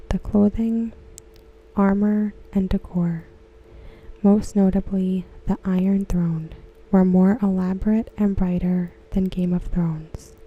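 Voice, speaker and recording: Very mellow, soft-spoken ASMR woman. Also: mid-range voice, muffled recording. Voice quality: soft, breathy, often slipping into whisper. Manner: gentle, hushed, unhurried. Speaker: ASMR host. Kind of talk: ASMR, soft-spoken reading of discussion comments.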